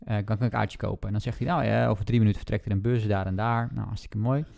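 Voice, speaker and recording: A man co-hosts a book podcast in a relaxed, casual style. His voice is fairly low and gravelly, and he talks quickly as he retells a story, slipping into bits of dialogue. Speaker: podcast co-host.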